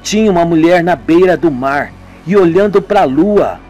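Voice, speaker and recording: A man reading a thoughtful young man who slowly recounts a strange night at the beach. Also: on the high side, expressive, darkish tone, faint music behind. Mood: pensive. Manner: pensive, recounting.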